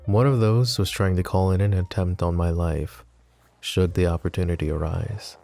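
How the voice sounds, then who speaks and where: A man acting a composed, wry, protective mafia boss in an intimate roleplay audio drama, speaking in a measured, conversational way. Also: low voice, steady tone, gravelly, warm tone, faint music behind. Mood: composed, businesslike. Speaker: voice actor.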